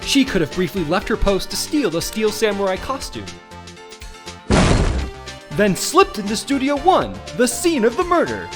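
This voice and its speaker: A man's voice: sits high, wildly swooping pitch, moderate pace, music in the background. A man playing an earnest young defense attorney in a courtroom game, cocky yet nervous, shifting between dramatic declarations and wry asides. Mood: confident, arguing. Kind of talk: dubbed courtroom drama video game acting.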